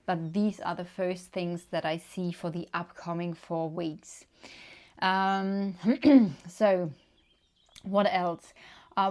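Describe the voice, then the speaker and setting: A woman from Germany with a German accent, giving a casual tarot card reading with gentle, unhurried talk and many fillers. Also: fairly low pitch. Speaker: tarot reader.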